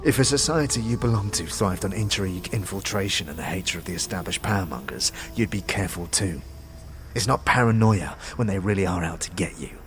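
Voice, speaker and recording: An actor playing a talking skull, a dead anarchist who is bitter, conspiratorial and speaks in streetwise slang, in a fantasy audio drama. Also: male voice, deep voice, animated, fairly quick, faint music behind. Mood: fervent, secretive. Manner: streetwise slang, scornful, guarded.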